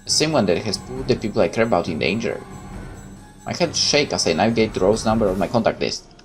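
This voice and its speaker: A man's voice: fairly low pitch, expressive, fairly quick, faint music behind. A man hosting a gaming video, reading visual novel text aloud and adding casual commentary.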